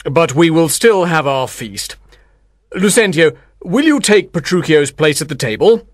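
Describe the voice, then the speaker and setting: A woman voicing a dignified, indignant older father with a grave, deliberate delivery in a dramatised audiobook. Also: sits low, lively intonation, dry recording, studio quality. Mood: resigned, formal.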